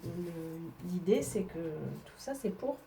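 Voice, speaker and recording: A woman's voice: on the low side, lively intonation, slow, dark tone, a little hiss or hum. Woman with a head cold teaching a craft. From northern France. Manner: chatty, explains while working, frequent fillers. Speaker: papercraft demonstrator. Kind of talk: craft tutorial.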